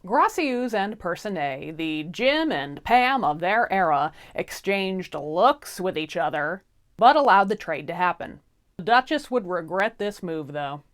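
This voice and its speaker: Animated woman telling a sassy fairy tale. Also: on the low side, measured pace. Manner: theatrical, irreverent. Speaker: storyteller. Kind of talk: comic, casual, sassy fairy-tale narration.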